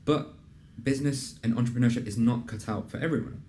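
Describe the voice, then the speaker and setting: A young man hosting a casual interview and pitching his program in an earnest, conversational way, with plenty of fillers. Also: fast talker, warm tone, slight background noise. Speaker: host and program founder. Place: in a building in a city business district.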